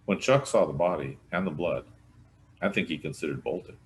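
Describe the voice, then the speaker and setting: A man's voice: sits low, animated, a little fast, slight background noise. A man reading a mystery novel aloud as a scripted narration, in the manner of a volunteer storyteller. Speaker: volunteer audiobook reader.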